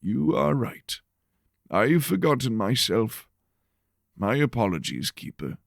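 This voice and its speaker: A man voicing a grave, scholarly church rector who speaks formally and deliberately in an audio drama. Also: medium pitch, very animated, gravelly, no echo, studio quality, full character voice. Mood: reluctantly sincere.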